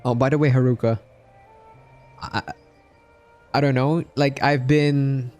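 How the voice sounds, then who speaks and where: A man's voice: mid-range voice, steady tone, takes it slowly, darkish tone. A young man chatting casually on a game livestream in a friendly, laid-back, talkative way. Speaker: game streamer. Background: game music.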